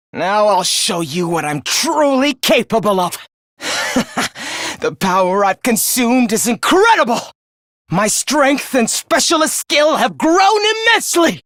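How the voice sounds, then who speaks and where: A theatrical, wildly expressive young traitor. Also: male voice, very high voice, dry recording, studio quality, exaggerated voice. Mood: manic rage. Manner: theatrical, contemptuous, swinging from hushed menace to shrieking. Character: young male traitor, genocidal, gloating, human-hating schemer. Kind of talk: video game voice acting, villain confession monologue.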